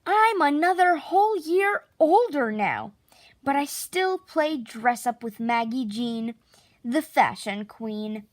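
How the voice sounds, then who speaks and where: A woman with a high voice reads a children's storybook aloud in a very expressive way. Her pitch swings widely and she takes her time, stretching key words theatrically. The sound is close and dry. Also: slow pace, no room sound. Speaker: picture book narrator.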